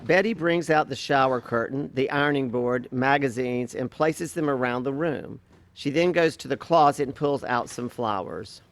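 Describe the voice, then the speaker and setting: A man's voice: medium pitch, normal speed. A man reading stage directions as scripted narration for a play reading.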